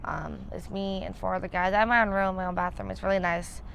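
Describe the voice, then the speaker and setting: A woman's voice: medium pitch, little pitch movement, quick pace, vocal fry, fairly bright tone, a little hiss or hum. A woman in her twenties from upstate New York, answering a street interview in a rambling way with many fillers. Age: 20s.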